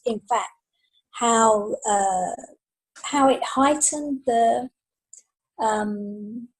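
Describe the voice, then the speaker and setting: High, slightly husky woman's voice. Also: dark tone, low-quality recording. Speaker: author, podcast panel guest. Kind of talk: casual unscripted chat. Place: remote group video call.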